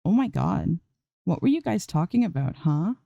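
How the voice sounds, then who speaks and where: A woman's voice: on the low side, big pitch swings, moderate pace, vocal fry, mellow, dry recording, highs cut off, full character voice. A woman voicing a bubbly, playful young gamer and pop idol in a story reading, with chirpy, whiny banter. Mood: mock complaining. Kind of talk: storybook character dialogue in audiobook reading.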